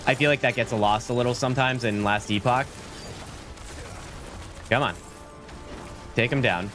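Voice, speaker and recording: Male streamer, 30s, relaxed rambling delivery, mid-pitched, a bit under the weather, faint game music. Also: moderate pace. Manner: laid-back, rambling, says "like" and "dude" a lot. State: sick, voice slightly deeper. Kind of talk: casual game stream chat. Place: small home room. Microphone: studio mic at desk, webcam framing.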